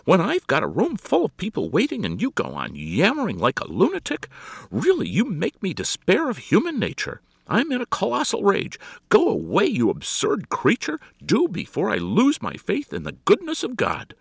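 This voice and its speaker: Storybook society hostess, acted by a man, high-pitched, theatrical, imperious, impatient, gushing, very dry close sound. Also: huge pitch swings, a little slow, gravelly, fairly bright tone, slightly rough, no room sound, heavily acted. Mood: shrieking rage.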